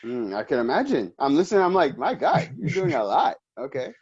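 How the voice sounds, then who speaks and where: A man's voice: mid-range voice, very animated, brisk, darkish tone, husky, dry recording. A man hosting a community interview, casual and upbeat with slangy talk. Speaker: host. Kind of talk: casual interview over video call. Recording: dry, lo-fi call audio.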